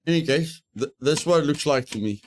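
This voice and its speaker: A man's voice: fairly high pitch, fast. A man streaming a survival game, giving live commentary, repeating his phrases and yelping with mild exclamations when startled.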